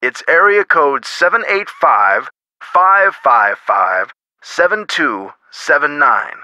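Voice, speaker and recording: Bright-voiced, chummy middle-aged lawyer. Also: male voice, fairly high pitch, very animated, takes it slowly, no room sound, heavily acted. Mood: jovial. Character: genial, avuncular middle-aged male lawyer and museum board member. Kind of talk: video game voice acting.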